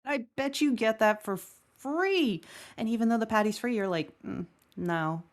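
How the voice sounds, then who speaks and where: Woman playing a sardonic, animated, griping woman. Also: on the high side, moderate pace, close to an everyday voice. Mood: exasperated ranting. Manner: sardonic, swearing, exaggerated griping. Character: Lucretia Evans, wry, griping, weary woman football-game player. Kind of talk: conversational table read, audio drama.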